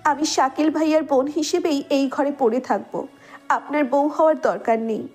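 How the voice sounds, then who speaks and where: A woman acts as a wounded young wife in a romantic audio drama, with a high-pitched voice. She is emotional and reproachful, proud yet full of love, and music plays behind her. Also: moderate pace, a little mellow, natural voice. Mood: hurt, sulking. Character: hurt, tearful young wife, proud but loving. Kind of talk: romantic audio story dialogue.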